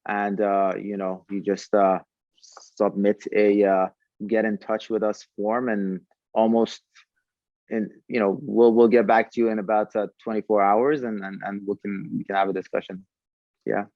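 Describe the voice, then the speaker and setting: A man's voice: lowish voice, level tone, moderate pace, dry recording, lo-fi sound. A man talking conversationally as a podcast guest, explaining a product and often repeating words as he goes. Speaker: startup founder, podcast guest.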